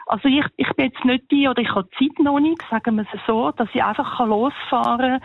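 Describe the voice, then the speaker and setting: Higher-voiced Swiss German woman, slightly dull sound. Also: a little fast, no room sound. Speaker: interview guest. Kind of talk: casual personal storytelling.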